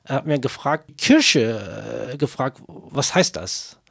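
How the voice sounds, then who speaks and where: A Kurdish singer whose first language is Kurdish, speaking hesitant, broken German with many fillers while being interviewed. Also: male voice, mid-range voice, huge pitch swings, gravelly, fairly bright tone, husky, no room sound, clean studio sound. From Kurdish region of Turkey. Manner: halting non-native German with many fillers. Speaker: singer, guest. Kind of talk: interview answers.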